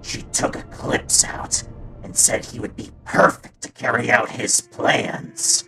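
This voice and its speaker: A performer playing a guilt-ridden male robot daycare attendant in a fan comic dub, halting and sombre, with a gravelly voice that drops into whispers. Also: medium pitch, music in the background. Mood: haunted regret.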